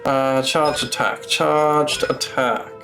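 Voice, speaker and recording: A man's voice: medium pitch, fairly even intonation, takes it slowly, sharp tone, soft background music. A man casually commentating on live gameplay as a streamer, blunt and sweary. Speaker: game streamer.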